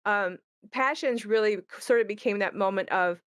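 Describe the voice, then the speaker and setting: A woman's voice: medium pitch, moderate pace, smooth, no echo, studio quality. A woman who creates games, talking casually and thinking aloud as she explains design, often rephrasing as she goes. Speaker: game creator in conversation. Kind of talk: casual discussion of game design.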